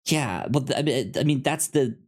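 A man co-hosting a podcast, chatting casually about television in a halting, stammering way that often repeats words. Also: medium pitch, lively intonation, a little mellow. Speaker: podcast host. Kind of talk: casual TV review chat.

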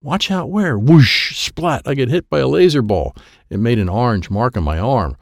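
An anxious young boy acted by a man tells his adventure in an audiobook. He speaks in simple, breathless phrases that leap up and down in pitch. The sound is close and dry. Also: expressive, moderate pace, creaky, no echo. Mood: nervous excitement. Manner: simple, breathless present-tense childlike phrasing. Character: excitable, anxious little boy telling his own adventure. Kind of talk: dramatic audiobook narration.

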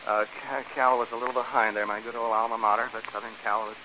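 A man's voice: mid-range voice, level tone, quick pace. A man hosting an old radio game show, jovial and teasing, often stammering as he banters with contestants. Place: radio show stage before an audience. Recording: noisy old radio broadcast.

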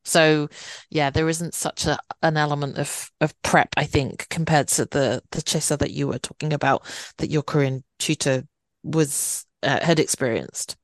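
A woman co-hosting a casual podcast, speaking in a slightly low voice. She talks thoughtfully, pausing and restarting as she works out what to say. The sound is dry. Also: steady tone, dry recording. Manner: thoughtful, hesitant, with fillers and restarts. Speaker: podcast co-host.

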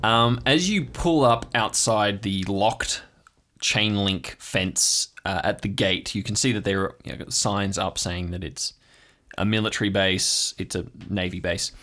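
A man's voice: lowish voice, unhurried, bright, forceful tone. A man narrating as game master in an improvised tabletop story, describing scenes in an informal, conversational way.

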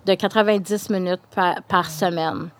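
Woman, school principal, measured, reassuring, dry close sound. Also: lowish voice, fairly even intonation, dry recording. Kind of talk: interview answers. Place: inside a parked truck.

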